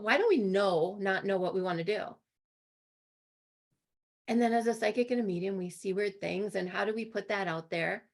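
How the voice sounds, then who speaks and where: Female interviewer, hoarse, average pitch. Conversational, halting phrasing, co-hosting over a video call. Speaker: co-host and interviewer.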